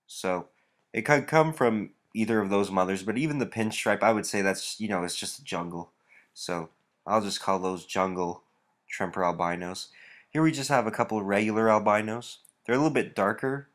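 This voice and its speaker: A man's voice: lowish voice, a bit bright. A man with a stuffy nose, casually walking through his reptile breeding rack with many fillers and rambling asides. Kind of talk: casual walkthrough vlog.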